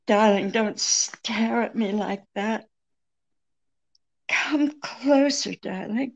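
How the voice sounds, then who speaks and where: Woman playing a slow-spoken, weary femme fatale. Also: on the high side, gravelly, dull audio, exaggerated voice. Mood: weary, remorseful. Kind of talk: 1940s radio drama acting.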